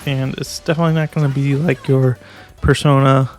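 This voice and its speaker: A man's voice: medium pitch, level tone, brisk, a little mellow, soft background music. A young man giving a casual video game review, hesitant and restarting his sentences often. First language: English.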